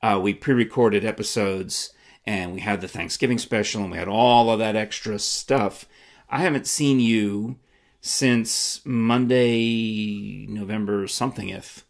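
A man's voice: lowish voice, unhurried, vocal fry. A man in his fifties hosting a casual chat from home, joking and laughing often. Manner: animated, joking, laughs a lot. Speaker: host. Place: home room, indoors. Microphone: desk camera framing.

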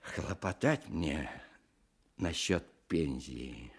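An actor playing a gentle, humble, devout village man in his sixties with folksy rustic speech, in a radio play. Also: a bass voice, expressive, extremely slow, breathy edge, heavily acted. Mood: weary, pleading. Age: older. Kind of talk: Soviet radio play acting.

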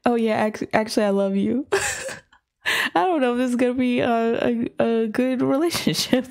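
A hoarse woman chatting casually as she pauses and reacts to a music video, full of fillers and giggles. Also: a little high. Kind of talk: casual commentary. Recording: faint music behind.

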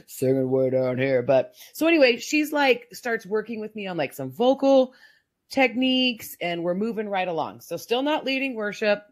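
A woman with a very low voice telling her personal story in a podcast conversation, reflective and halting with many restarts. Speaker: guest sharing her story.

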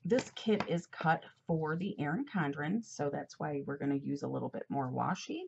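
Low, gravelly woman, a little congested. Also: moderate pace, dull audio, slight background noise. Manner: chatty, rambling, with fillers and asides. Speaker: planner vlogger. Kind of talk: casual chat while decorating a planner. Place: at a desk indoors. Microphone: overhead camera.

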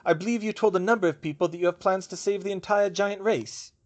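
A man's voice: high-pitched, quick pace, voice pushed up, natural voice. A man voicing a male ambassador in an audiobook, suspicious and challenging, with pointed, needling delivery. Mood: challenging, sardonic.

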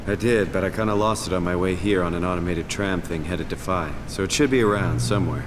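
Male actor as weary everyman, low voice, wry, subdued, sci-fi game, noisy machinery backdrop. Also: quick pace, close to an everyday voice. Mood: weary, guarded. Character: weary, wry everyman stranded in future undersea facility. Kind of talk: video game voice acting. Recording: echoey, noisy machinery ambience.

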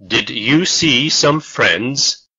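A man reading slow model sentences for an English lesson, each word carefully spaced. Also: mid-range voice, animated, sharp tone, breathy edge, lo-fi sound. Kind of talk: slow scripted language drill.

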